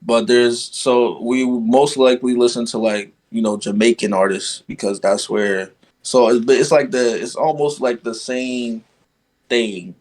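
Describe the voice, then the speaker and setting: A man's voice: mid-range voice, measured pace. Young guy from guyana just chatting on a podcast, laid back, lots of "you know". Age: young adult. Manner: relaxed, lots of fillers like "you know what I'm saying". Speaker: podcast guest. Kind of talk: casual conversation.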